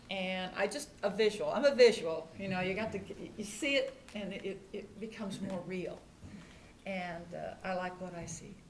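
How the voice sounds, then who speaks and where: Older american lady mayor talking at a public meeting, roomy echo, bit of hiss or room noise. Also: mid-range voice. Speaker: mayor chairing a council meeting. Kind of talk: informal public remarks at a meeting. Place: indoor council meeting room.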